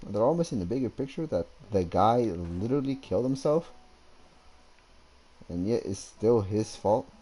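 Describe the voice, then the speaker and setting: Guy doing casual gameplay commentary, deepish mellow voice, halting, thinking out loud. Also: animated, slightly rough, rough recording. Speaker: gamer.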